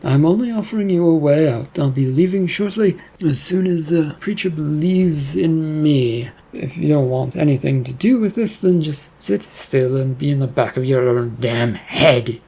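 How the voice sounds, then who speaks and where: A man's voice: on the high side, warm tone, breathy edge. Sly coaxing demon, voiced by a man. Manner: taunting, coaxing, drawn-out. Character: sly scheming male demon possessing a man.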